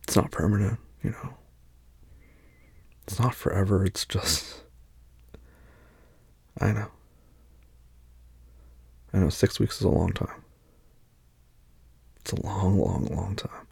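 A man speaking softly and reassuringly to a partner, often dropping to a tender whisper in an intimate one-sided roleplay. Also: sits low, takes it slowly, vocal fry, pauses often, slightly rough, no echo. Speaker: boyfriend speaking to an unseen listener.

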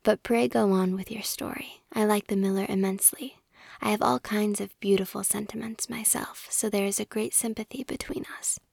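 A woman's voice: mid-range voice, fairly even intonation, moderate pace, creaky, a little mellow, husky, no room sound, clean studio sound. A woman reading aloud in a whisper, voicing a conceited, opinionated male water rat from a storybook. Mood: condescending. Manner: know-it-all, condescending.

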